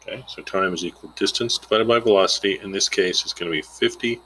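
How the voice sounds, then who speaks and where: A man's voice: low voice, fast talker, pushed and bright, a little hiss or hum, rough recording. A man giving an informal, plainspoken lesson, explaining a worked example step by step and thinking aloud as he calculates. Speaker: instructor.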